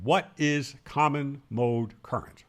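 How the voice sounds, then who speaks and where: A man's voice: mid-range voice, takes it slowly, a bit bright. A man giving an explanatory tutorial as a radio instructor, in an emphatic, didactic manner, posing questions and then answering them. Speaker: ham radio instructor and presenter.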